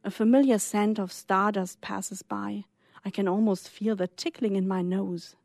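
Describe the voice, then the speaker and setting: A woman's voice: mid-range voice, steady tone, a little slow, gravelly, darkish tone, no echo. A woman reading a dreamy first-person story in a radio studio. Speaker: guest reader.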